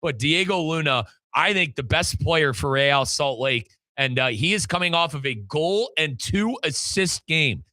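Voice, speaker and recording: Bright, higher-voiced American sports talk host. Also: male voice, lively intonation, a little slow, few pauses, no room sound. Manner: emphatic, repeats phrases for effect, colloquial. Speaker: host of a soccer show. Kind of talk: sports talk commentary and interview.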